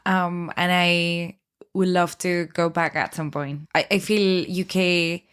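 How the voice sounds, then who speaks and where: A woman's voice: on the low side, steady tone, takes it slowly, a bit bright, clear, resonant tone. Woman with a Spanish accent, speaking hesitantly. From Spain. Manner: hesitant, thoughtful, with many fillers and restarts. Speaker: guest. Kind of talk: casual conversation.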